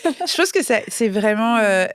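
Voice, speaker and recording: A woman's voice: on the high side, sing-song, measured pace, no room sound, clean studio sound. A woman from France, a guest author, talking through her thoughts in a casual podcast chat, with lots of hesitations and restarts. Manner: thoughtful, many fillers and restarts.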